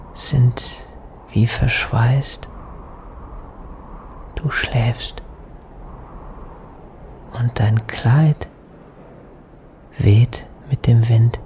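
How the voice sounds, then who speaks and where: An actor playing a dreamy lost spirit in a radio play, speaking in hushed, halting, trance-like fragments. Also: male voice, mid-range voice. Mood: hushed, tender. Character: dreamy male spirit, a wandering lost soul. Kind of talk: poetic radio play monologue. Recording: studio radio drama with music underneath. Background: music.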